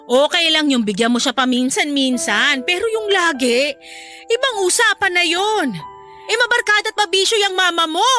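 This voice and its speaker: Woman acting very high, bright, emotional mother. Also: expressive, normal speed, full character voice. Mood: admonishing. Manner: emotional, emphatic. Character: protective, excitable, loving adoptive mother. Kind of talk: Filipino audio drama acting. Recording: music under the voice.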